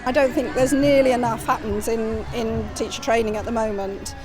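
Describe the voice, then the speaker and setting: A woman's voice: a little high, fairly even intonation, moderate pace, music in the background, crowd noise. A woman deputy head teacher speaking in a documentary interview, explaining her views earnestly and fluently.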